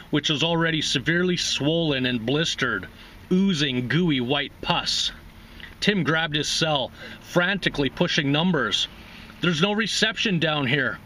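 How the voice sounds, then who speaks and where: A man reads a short story aloud as host of a reading series. His voice is bright, forceful and slightly high, with faint noise in the background.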